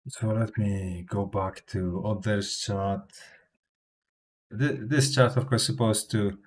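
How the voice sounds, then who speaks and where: Hesitant low-voiced European man. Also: steady tone, slow. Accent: non-native English. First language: not English. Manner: hesitant, many fillers and restarts. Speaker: crypto livestreamer. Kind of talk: casual livestream talk.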